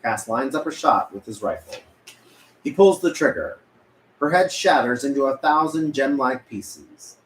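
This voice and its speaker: A man's voice: mid-range voice, lively intonation, unhurried. Echoey male narrator of a screenplay. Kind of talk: scripted narration at a table read. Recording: echoey room, faint background noise.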